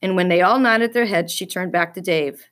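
A woman reading a children's book aloud as an expressive storyteller. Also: fairly low pitch, normal speed.

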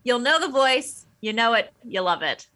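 Bright, high, bubbly woman. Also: animated, moderate pace. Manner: bubbly, giggly. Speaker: podcast host. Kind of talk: casual chat.

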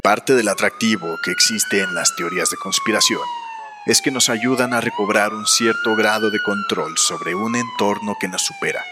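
A man's voice: mid-range voice, huge pitch swings, normal speed, very noisy. A man playing a solemn narrator, delivering scripted dramatic narration in an audio drama. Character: solemn male narrator musing on war and conspiracies. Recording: long hall reverb.

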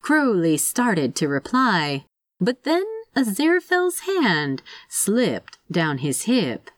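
A woman reading a story aloud in an expressive, wry and conversational way. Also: mid-range voice, no room sound, studio quality. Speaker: fan fiction narrator. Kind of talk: expressive scripted story narration.

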